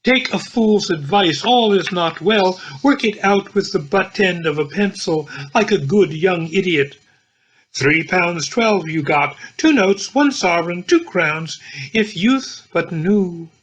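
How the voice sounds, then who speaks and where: A man reading the part of a sober, prudent Oxford don who scolds and watches, in a husky voice. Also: high-pitched, lively intonation, slow pace, fairly bright tone, heavily acted. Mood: admonishing. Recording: dull lo-fi home recording.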